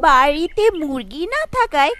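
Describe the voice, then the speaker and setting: Storybook narrator for a kids' cartoon: extremely high-pitched, animated intonation, faint music behind. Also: moderate pace. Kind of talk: scripted children's storybook narration.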